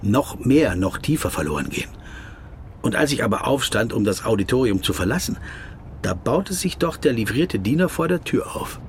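A man's voice: medium pitch, very animated, normal speed, a little hiss or hum. An actor playing a pedantic, weary middle-aged man who tells his story in a circumstantial, self-ironic way. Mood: reflective. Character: wordy, pedantic middle-aged male legal counsel, weary and self-doubting. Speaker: narrator and protagonist. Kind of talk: scripted literary radio drama monologue.

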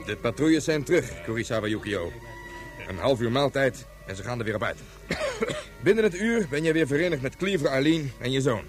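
An actor playing an imperious, short-tempered gang colonel who barks orders and taunts in a science-fiction radio play. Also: male voice, mid-range voice, wildly swooping pitch, a little fast, soft background music. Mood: smug, taunting. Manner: barking orders, insulting, taunting. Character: imperious, furious male colonel of a gang in space. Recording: very noisy radio drama, roaring background.

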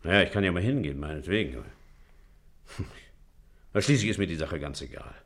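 An actor playing an educated, good-natured but excitable gentleman doctor in a German radio play, talking conversationally and emphatically, quick to take offence. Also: low-pitched, gravelly, exaggerated voice. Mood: offended. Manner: conversational, easily indignant, emphatic.

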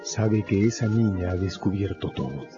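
A man narrating a radio drama in a measured, solemn, dramatic scripted style. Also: low-pitched, animated. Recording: dull recording, loud music and crowd behind.

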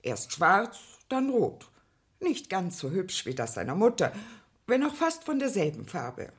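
A woman's voice: medium pitch, very animated, unhurried, slightly rough. An actress playing a talkative, deferential working-class mother of many in a German radio play, chatty and rambling. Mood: chatty recollection. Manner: chatty, rambling, deferential, quoting herself and others.

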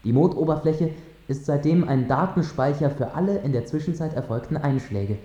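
An actor plays a measured male mission controller in a radio drama. His mellow, relaxed voice gives formal explanations, heard with clear echo and a slightly dull sound. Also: fairly high pitch, close to an everyday voice. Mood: explaining. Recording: dull, echoing transmission sound.

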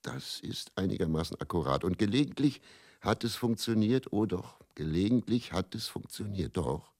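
A weary travelling faith healer in a radio drama, his voice low and recorded very dry. He speaks in a brooding, confessional way, circling back on his own words with deliberate repetition and self-doubt. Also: expressive, darkish tone, no room sound. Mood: wry, intense. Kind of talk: German radio play dramatic monologue.

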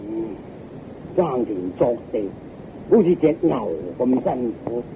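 A man's voice: mid-range voice, lively intonation, slow pace. An actor playing a weary, complaining old farmer father in a Cantonese radio drama, with an aged, sighing voice and a Hong Kong accent. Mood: grumbling. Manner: complaining, grumpy, colloquial. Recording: muffled radio recording, faint noise.

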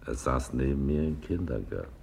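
An actor in a radio play playing a wry, patient, philosophical old man with a gravelly voice and a dry, musing, unhurried delivery. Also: a bass voice, lively intonation, a little mellow, a little hiss or hum, full character voice. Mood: dry, teasing. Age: older. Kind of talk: 1980s German radio play acting.

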